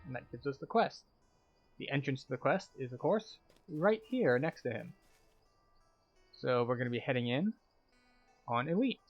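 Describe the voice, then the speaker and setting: A man's voice: medium pitch, lively intonation, normal speed, long pauses, dull audio, soft background music. A man narrating a video game walkthrough as a tour guide, explaining each step. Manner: explanatory, guiding.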